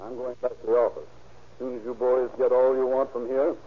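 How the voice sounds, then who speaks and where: An actor playing a brisk, businesslike police captain in 1930s radio drama style. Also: male voice, medium pitch, little pitch movement, close to an everyday voice. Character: brisk, businesslike male police captain leading a murder investigation. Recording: tinny, hissy old radio recording.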